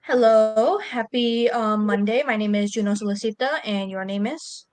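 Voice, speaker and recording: A woman's voice: fairly high pitch, normal speed, a little mellow, no echo. A woman hosting an interview, asking simple questions in a casual way with brief encouraging replies. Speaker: interviewer, host.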